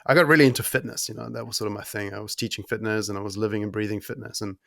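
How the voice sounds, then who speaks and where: A man in his thirties from Germany, whose accent mixes German and New Zealand, talking as a podcast guest in a relaxed, rambling way with many ums and restarts. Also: on the low side, racing, vocal fry, dry recording, studio quality. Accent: mix of German and New Zealand. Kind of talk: casual conversational interview.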